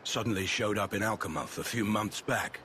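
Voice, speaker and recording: An actor playing a stern, weary soldier of a fallen capital in a video game, speaking formally. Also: male voice, on the low side, normal speed, background noise, lightly acted. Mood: stern warning. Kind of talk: video game cutscene acting. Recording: game music behind.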